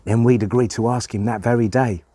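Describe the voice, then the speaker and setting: A man's voice: mid-range voice, fairly even intonation, fairly quick, mellow, no echo, pitched above the performer's own voice, natural voice. A man voicing a folksy country child reciting a family story in rustic dialect. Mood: eager. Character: folksy country child telling about a beloved Pa. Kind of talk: dramatic poem recitation.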